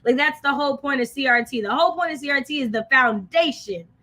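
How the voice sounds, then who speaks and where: High, bright-voiced, emphatic American woman. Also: fast talker. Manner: emphatic, repeats phrases for effect. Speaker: co-host of a book discussion livestream. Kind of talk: casual live discussion.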